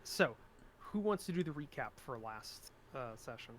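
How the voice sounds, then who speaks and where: A man's voice: mid-range voice, big pitch swings, moderate pace, a bit bright, a little hiss or hum. A man chatting casually as he runs a tabletop game as game master.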